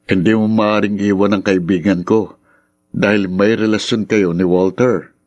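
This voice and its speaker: A man's voice: on the low side, steady tone, unhurried, a little mellow, muffled recording, lightly acted. A man playing a concerned, easygoing young friend with a Filipino accent in a radio drama. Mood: concerned, coaxing.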